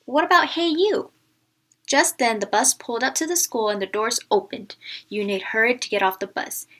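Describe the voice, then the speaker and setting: A woman teacher reading a picture book aloud, narrating and pausing to explain it to young listeners. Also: fairly high pitch, lively intonation, a bit bright.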